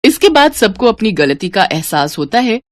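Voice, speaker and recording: A woman's voice: mid-range voice, sing-song, moderate pace, no room sound, studio quality. A woman telling a story as a scripted narrator.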